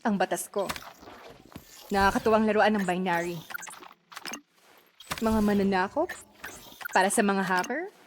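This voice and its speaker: A woman's voice: mid-range voice, unhurried, pauses often, close to an everyday voice. A woman voicing a smug, dismissive computer hacker in a video game, with faint noise in the audio. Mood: smug, mocking. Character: smug computer hacker who makes the rules. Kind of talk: game character voice lines.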